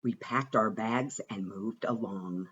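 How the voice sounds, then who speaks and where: A man reading a rhyming children's storybook aloud with a rhythmic, expressive and deliberate delivery. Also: lowish voice, lo-fi sound, a little hiss or hum. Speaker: reader of a children's picture book.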